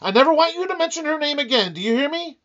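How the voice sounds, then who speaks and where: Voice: high-pitched, animated, fast talker, a bit bright. An actor playing a weary, sarcastic, penny-pinching husband in an old-time radio comedy, grumbling and blustering wryly. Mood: exasperated. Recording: dull, lo-fi band-limited sound.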